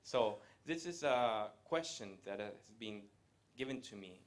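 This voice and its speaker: A man, giving a live presentation to an audience in a conversational way, pausing often to hesitate. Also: on the low side, animated. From Latin America. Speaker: guest speaker. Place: event room with a live audience.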